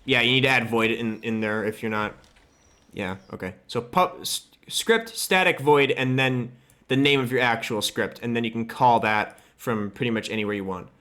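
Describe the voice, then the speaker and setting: A man giving a casual, offhand software tutorial, thinking aloud as he works. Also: medium pitch, moderate pace. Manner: informal, loose and offhand, occasional swearing. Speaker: host of a game modding tutorial. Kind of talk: casual screen-capture tutorial, thinking aloud while working.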